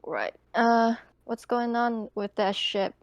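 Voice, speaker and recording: A woman's voice: on the high side, slow pace, dry recording. A woman playing a tabletop game, asking casual questions as part of the roleplay.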